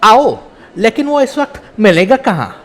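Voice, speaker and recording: A man's voice: high voice, sing-song, measured pace, a bit bright, voice pushed up, heavily acted. A man voicing a mischievous, taunting gang ringleader with a brash, teasing manner. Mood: scheming.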